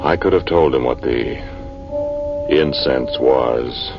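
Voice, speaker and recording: An actor playing a haunted archaeologist in a 1940s radio drama, telling his eerie story in a brooding, deliberate, dramatic manner. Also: rumbling low, vocal fry, heavily acted. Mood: tense, eerie. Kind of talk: 1940s radio acting, first-person narration. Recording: muffled vintage radio recording, music underneath.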